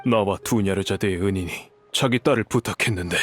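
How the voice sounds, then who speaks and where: Older merchant patriarch for a game: low register, measured, gentle, remorseful, formal, light music bed. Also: male voice. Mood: remorseful, solemn. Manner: measured, formal elder speech. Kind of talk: dubbed video game acting.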